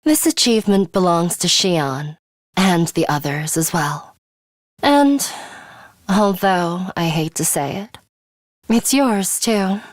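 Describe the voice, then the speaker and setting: An actress playing a proud, imperious young woman in a dramatic video game, haughty and deliberate, with a fatalistic streak and a hidden caring side. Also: on the low side, expressive, no room sound. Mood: proud, softened. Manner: haughty, deliberate, breathy delivery with hushed drops.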